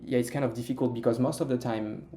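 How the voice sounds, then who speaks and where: A man's voice: mid-range voice, steady tone, fast talker, background noise. A young man with a French accent, chatting as an interview guest about learning Mandarin, hesitant with many fillers and restarts. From France. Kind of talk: casual interview chat.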